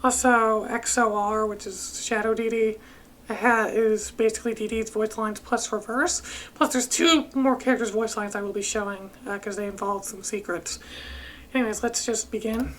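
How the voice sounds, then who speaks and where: A woman's voice: on the high side, steady tone, normal speed, fairly bright tone, a little hiss or hum. A woman giving casual let's play commentary as a video game commentator.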